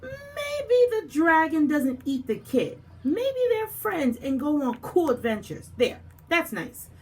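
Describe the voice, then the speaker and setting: Sensible little bunny creature read by a lady, high gentle helpful voice, unhurried. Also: sing-song, distant mic, a little hiss or hum, exaggerated voice. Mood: coaxing. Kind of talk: picture book read-aloud.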